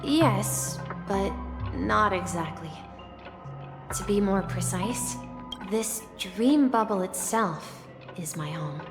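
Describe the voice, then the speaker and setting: Game casting: soft, earnest young boy dreamer, slow measured delivery, loud music underneath. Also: mid-range voice, animated. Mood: gentle, revealing. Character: gentle, wistful young boy with adventurous dreams. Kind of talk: video game voice acting.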